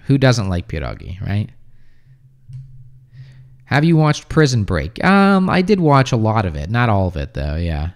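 A man in his thirties who grew up in the United States, hosting a casual English-teaching livestream from a small room at home. He reads viewer comments and answers them offhand, with lots of fillers, into a close boom mic. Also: huge pitch swings, unhurried, long pauses. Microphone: studio mic on boom arm close to mouth.

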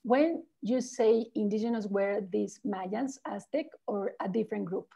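A woman's voice: mid-range voice, unhurried, vocal fry, mellow, slightly rough. Woman reading out viewer questions on a call. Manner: brief, plain questioning. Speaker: tour organizer reading audience questions. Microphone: video call.

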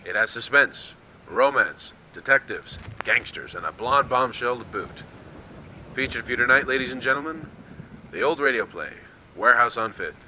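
An actor playing a showy radio announcer presenting an old radio play. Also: male voice, medium pitch, expressive, normal speed, pushed and bright, a little hiss or hum, rough recording.

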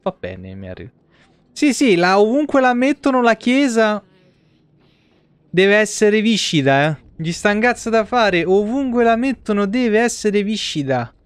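A man's voice: high voice, big pitch swings, measured pace, clear, resonant tone, dry recording. A man, giving casual, sweary, joking live commentary on a video game. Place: indoor room, streaming setup.

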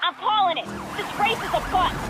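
A frantic young street racer in a game chase. Her voice is urgent and very high, fighting through loud engines and sirens. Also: a little fast, heavy background noise. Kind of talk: video game action acting.

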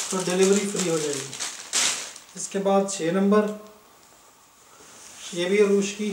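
A man from Pakistan showing clothes for sale, listing prices in a brisk, matter-of-fact way. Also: high voice, recorded from a distance, a little hiss or hum. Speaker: online clothing seller. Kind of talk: casual product showcase. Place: indoors, filming fabrics on the floor. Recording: echoey room, somewhat off-mic.

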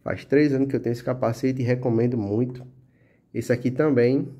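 A man's voice: medium pitch, a little fast, muffled recording. A man with a Pernambuco accent hosting his motorcycle channel, chatting casually as he shows off camera gear indoors, peppering his talk with little tag questions. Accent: Pernambuco Portuguese. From Brazil. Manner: relaxed, chatty, full of fillers like "tá?" and "né?". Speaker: motovlog channel host. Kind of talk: casual product show-and-tell.

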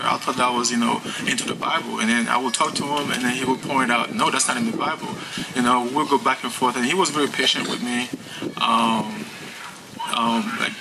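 A young man from Haiti tells his story to a small gathering outdoors, speaking into a stand mic. He talks in a halting, unhurried way, full of pauses and restarts. Steady outdoor noise and the odd passing vehicle sit behind his mid-pitched voice. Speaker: church member giving testimony. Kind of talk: personal testimony to a gathering. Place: outdoors by a lake. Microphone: stand mic, filmed from a distance.

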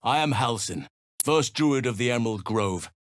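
An actor playing a gentle, solemn druid leader in a video game, speaking in a measured, formal, earnest manner. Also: male voice, medium pitch, animated, dry recording, clean studio sound, natural voice. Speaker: video game companion character. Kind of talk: video game voice acting, formal fantasy dialogue.

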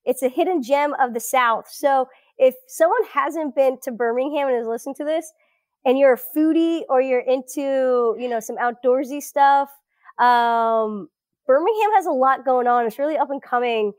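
A woman's voice: high-pitched, moderate pace, a little mellow, dry recording, studio quality. A woman in her thirties from South Florida, chatting as a guest in a casual interview, with many fillers and restarts. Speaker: guest researcher.

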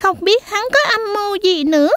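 A woman voicing a small, wary and curious young goat kid in a children's cartoon, squeaky and childlike. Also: a little fast, a bit bright, no room sound, studio quality. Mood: worried.

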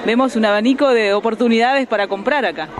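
A woman's voice: a little high, quick pace. A woman reporter, friendly, asking short questions in an on-site interview at a large indoor fair. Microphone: handheld mic. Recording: crowd chatter behind. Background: crowd chatter.

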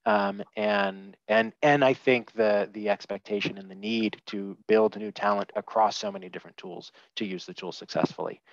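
A man's voice: on the low side, fairly even intonation, normal speed, hardly any pauses, dry recording. A man on a headset in a small room, giving candid, opinionated views as a panelist in a webinar, with many fillers and restarts. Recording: dull lo-fi video call audio.

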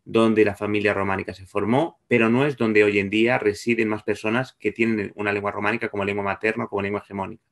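A man in his thirties presents in an online seminar. His voice is on the low side with plenty of vocal fry. He explains in long academic sentences, rephrasing as he goes. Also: fairly even intonation, quick pace, hardly any pauses. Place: indoor room on a video call. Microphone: video call microphone.

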